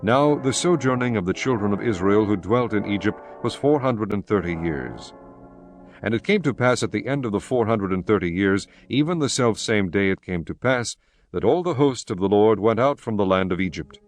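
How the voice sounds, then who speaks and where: A man narrating scripture from a script in a solemn, measured, formal way. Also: on the low side, expressive. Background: music.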